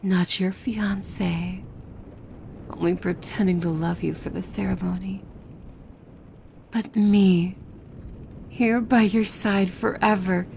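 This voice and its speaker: A woman playing a possessive demon maid in an ASMR roleplay, devoted and softly menacing, with hushed formal speech that often slips into breathy whispers. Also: medium pitch, fairly even intonation, slow, pauses often, a little hiss or hum. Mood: possessive, intimate.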